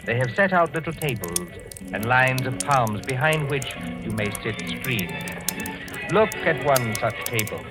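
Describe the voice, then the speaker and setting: An actor narrating a vintage radio drama in a measured, theatrical and intimate storytelling manner. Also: male voice, medium pitch, close to an everyday voice. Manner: measured, theatrical, intimate second-person address. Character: atmospheric male storyteller setting a masked-ball scene. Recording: old radio recording, loud music.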